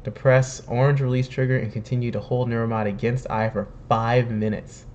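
A young man with a slightly hoarse voice, chatting casually and jokingly while he plays and reads game text aloud. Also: medium pitch, level tone, brisk, a little mellow, muffled recording. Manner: chatty, joking, laughs at himself. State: slightly hoarse, says he is sick and losing his voice. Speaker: streamer playing a video game. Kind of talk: casual let's-play commentary, reading in-game text aloud. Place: home room, webcam in corner.

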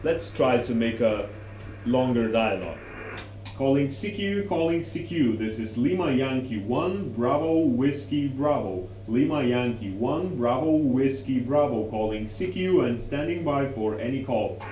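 A young man presents a radio demonstration. His voice is dark and soft-edged, at a middle pitch. The small room adds echo, with a faint hum behind him. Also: animated, unhurried. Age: young adult. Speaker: presenter, amateur radio operator. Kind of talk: instructional demonstration with radio calls.

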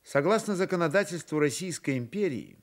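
A man's voice: a little high, expressive, fairly quick. A man narrating a literary radio drama in a storytelling style.

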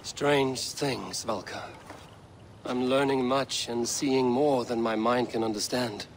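An actor playing a weary, calculating Norse god-warrior in a video game, speaking in a solemn, measured, formal way. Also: male voice, mid-range voice, slight background noise. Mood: solemn, confiding. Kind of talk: cinematic video game acting.

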